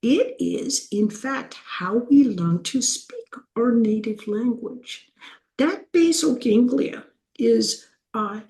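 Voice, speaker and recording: A woman's voice: fairly high pitch, lively intonation, warm tone, low-quality recording. An older woman giving a conversational university lecture with slides, sometimes restarting and drawing out key words for emphasis. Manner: conversational, self-correcting, slows down to stress key terms. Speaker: professor giving a talk.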